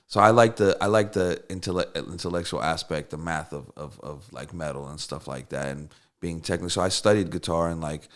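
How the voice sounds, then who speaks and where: Man born in russia, russian first language, super low voice, rambles with lots of ums and restarts. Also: brisk, few pauses. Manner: rambling with many fillers and restarts. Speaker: songwriter and producer guest. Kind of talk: casual interview storytelling.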